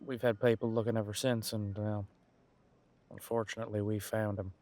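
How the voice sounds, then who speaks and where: A man's voice: fairly low pitch, level tone, normal speed, darkish tone, natural voice. A man playing a casual, wry police detective in an audio roleplay, musing in a conversational, hesitant way. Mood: explaining, thoughtful.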